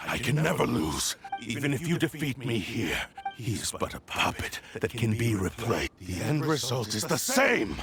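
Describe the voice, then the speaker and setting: A man's voice: mid-range voice, huge pitch swings, normal speed, hardly any pauses. A man playing the arrogant, vengeful spirit of a cursed blade in a video game, speaking in a grandiose, menacing, formal way. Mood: cold contempt. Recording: loud music under the voice.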